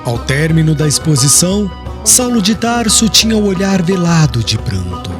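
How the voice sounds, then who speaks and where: A man narrating an audiobook with a measured, expressive reading. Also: on the high side. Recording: music under the narration. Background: background music.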